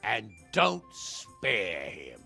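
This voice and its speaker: A man playing a blunt, jovial lord and commander in a video game, gruff and boisterous in manner. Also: lowish voice, huge pitch swings, drawn-out words, pushed and bright, soft background music, heavily acted. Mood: wry, commanding. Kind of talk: video game cutscene acting.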